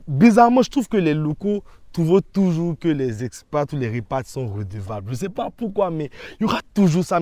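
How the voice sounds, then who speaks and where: A man's voice: high-pitched, a little mellow, no room sound. Guy giving interview answers, explains stuff, keeps checking you follow, dry sound. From Ivory Coast. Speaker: interview guest.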